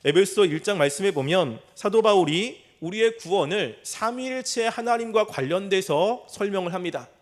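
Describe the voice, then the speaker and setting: A man preaching an expository sermon in a measured, explanatory manner with formal, polite phrasing. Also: high-pitched.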